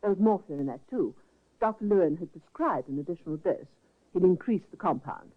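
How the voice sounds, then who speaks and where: An actress playing a composed nurse accused of murder, speaking coolly and precisely in a 1950s radio drama. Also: female voice, lowish voice, lively intonation, fairly quick. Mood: guarded calm. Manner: cool, precise. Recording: tinny old radio broadcast.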